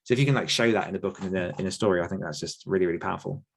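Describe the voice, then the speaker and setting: A man answering questions on a podcast in a casual, rambling way, often pausing to search for words. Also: low voice, creaky, husky. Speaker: podcast host and horror author. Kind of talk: casual conversational Q&A.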